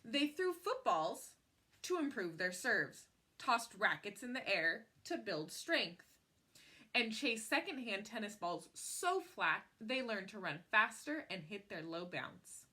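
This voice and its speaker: Bright-voiced woman reading a story aloud. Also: medium pitch, very animated, slow, breathy edge. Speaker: picture book reader. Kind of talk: expressive read-aloud storytelling.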